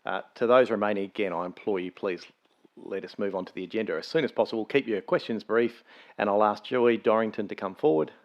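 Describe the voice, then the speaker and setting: An Australian man chairing a council meeting as mayor, measured, procedural and polite. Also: lowish voice, highs cut off.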